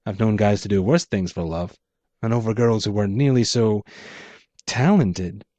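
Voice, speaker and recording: A man's voice: fairly low pitch, normal speed, mellow, dry recording. A man reading his own noir short story aloud as scripted narration. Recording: dull muffled lo-fi audio.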